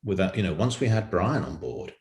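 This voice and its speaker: A man's voice: deep voice, very animated, fairly quick, darkish tone. A man telling anecdotes as an interview guest on a video call, emphatic and prone to repeating phrases. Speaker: audio drama writer and guest. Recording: roomy, echoing video-call sound.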